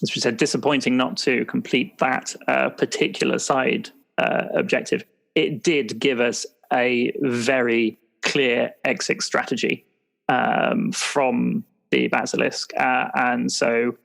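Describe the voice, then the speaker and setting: A man's voice: medium pitch, little pitch movement, slow, creaky, a bit bright, exaggerated voice. A man playing a dutiful field agent, giving a hesitant, formal report in an improvised audio roleplay. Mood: hesitant, diplomatic.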